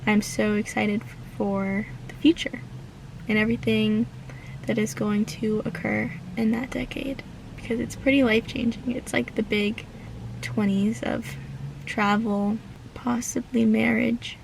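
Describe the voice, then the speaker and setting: A woman's voice: on the high side, little pitch movement, measured pace, creaky, noisy background. A woman about to turn twenty, vlogging from inside her car in an earnest, grateful, rambling way, using filler words as she talks into her phone. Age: late teens. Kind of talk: reflective casual vlog.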